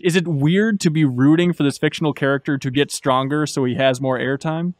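A man's voice: fairly high pitch, fairly even intonation, brisk, no room sound. A man in his thirties hosting a casual interview, talking fluently and like an engaged fan into a close studio microphone. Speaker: podcast host, interviewer. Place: small podcast studio at a table. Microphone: close desk microphone.

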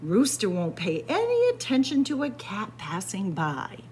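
A woman's voice: medium pitch, huge pitch swings, measured pace, darkish tone, slight background noise. A woman voicing an eager, easily dismayed male turkey in a children's storybook, earnest and exclaiming. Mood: eager scheming. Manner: earnest, exclaiming, gobbling when upset. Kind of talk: children's storybook character reading.

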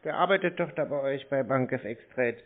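A man's voice: medium pitch, brisk. A man chatting casually on the telephone as a prank caller, unflappable and dogged, with an air of feigned innocence. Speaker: prank caller to scam call centres. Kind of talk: casual phone chat. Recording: narrow-band phone line.

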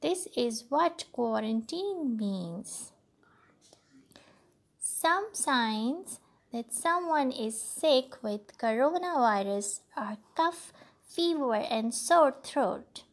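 A woman's voice: sits high, lively intonation, very slow, heavily acted. A woman voicing a patient, caring older sister who explains and reassures in a storybook read-aloud. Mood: explaining.

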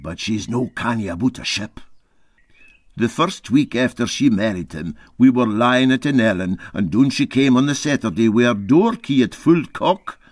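A man voicing a garrulous, boastful old sea captain with a Scottish Highland accent in a storybook reading. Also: lively intonation, normal speed, no echo, lightly acted. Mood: wry, gossiping. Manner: garrulous, folksy, emphatic.

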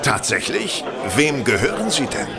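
An actor playing a shrewd, confident, courteous detective in a German audio drama, smooth-talking and probing. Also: male voice, medium pitch, sing-song, moderate pace, over a noisy crowd. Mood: affable, cunning.

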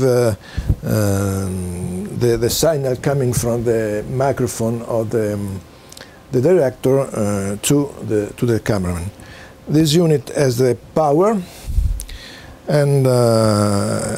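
Slow, halting older man, Italian accent. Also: medium pitch, warm tone. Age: 60s. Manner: halting, hesitant non-native English delivery. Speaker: presenter demonstrating homemade audio equipment. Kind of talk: unscripted equipment demonstration. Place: small cluttered home office, indoors. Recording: faint background noise, room ambience.

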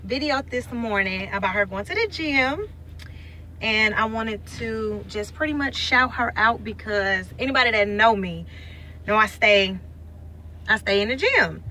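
Young st woman, bright voice, blunt slangy chat, phone in her car, faint hum behind. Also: a little high, a little slow. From St. Louis, USA. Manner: blunt, slangy, lively and chatty. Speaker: vlogger. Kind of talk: casual selfie vlog. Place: inside a parked car. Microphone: phone held at arm's length.

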